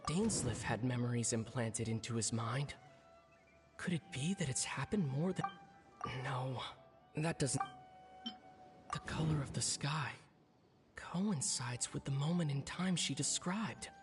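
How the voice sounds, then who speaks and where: A man playing a serious, pensive character in a video game, deliberating in measured inner monologue. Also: mid-range voice, animated, music in the background, close to an everyday voice. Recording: long reverb on the voice.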